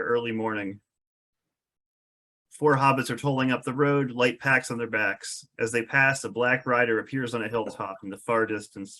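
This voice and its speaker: A man with a mid-pitched voice narrates a screenplay's stage directions. He reads scripted scene descriptions as part of a table read. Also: pushed and bright.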